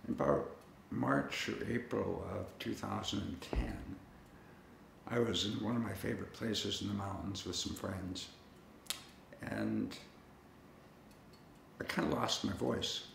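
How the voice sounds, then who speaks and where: A man's voice: fairly low pitch, expressive, slow pace, creaky, pauses often, a bit bright, echoey room, slight background noise. A man telling the story of his own illness in a plain, conversational way, with a few restarts. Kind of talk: personal storytelling to camera.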